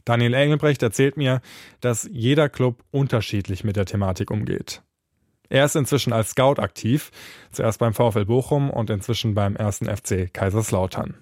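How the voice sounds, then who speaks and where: A man's voice: medium pitch, normal speed, darkish tone, no room sound. A man narrating a scripted podcast as its host and reporter, speaking into a close microphone.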